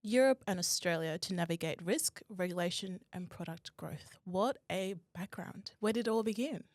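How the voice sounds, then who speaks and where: A woman's voice: fairly low pitch, normal speed, no echo. A woman hosting a podcast interview in a conversational style. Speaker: podcast host.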